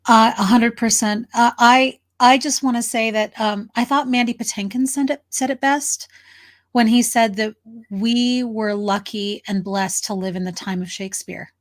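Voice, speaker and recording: A woman's voice: fairly high pitch, steady tone, moderate pace. A woman chatting casually as co-host of a talk show. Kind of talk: casual chat.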